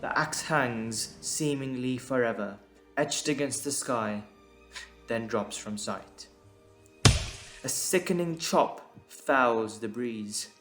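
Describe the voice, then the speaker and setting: A man's voice: medium pitch, a bit bright. A man reading scripted dramatic narration as the narrator of an audio drama. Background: music.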